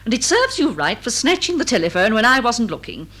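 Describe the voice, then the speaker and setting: A woman's voice: on the high side, big pitch swings, brisk, fairly bright tone. An actress playing a tart, unflappable English secretary who bosses her employer in a matter-of-fact, scolding way in a radio comedy. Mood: brisk scolding. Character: brisk, tart, unflappable secretary, bossily loyal. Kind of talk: stage drawing-room comedy in radio drama.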